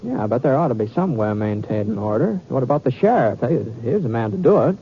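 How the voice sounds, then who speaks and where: An actor playing a mild, hesitant gunfighter in an old-time radio western, talking in a halting, folksy way and repeating himself. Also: male voice, medium pitch, very animated, a little hiss or hum. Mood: puzzled, reassuring. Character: mild, hesitant, drawling Old West gunfighter telling his tale. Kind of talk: old-time radio western acting and first-person narration. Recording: dull vintage radio recording, faint music.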